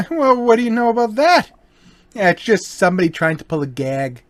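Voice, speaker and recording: A woman playing an excitable card-playing pal who exclaims readily, in an old-time radio drama. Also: mid-range voice, normal speed, natural voice. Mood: amused, egging on.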